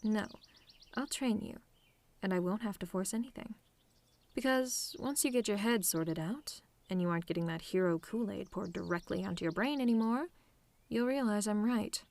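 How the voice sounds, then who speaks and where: A woman playing a proud, sardonic telepathic villainess who is secretly soft, in a dramatic, teasing ASMR roleplay addressed to the listener. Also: medium pitch, moderate pace, lightly acted. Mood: confident, teasing. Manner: haughty, dramatic, teasing, exasperated.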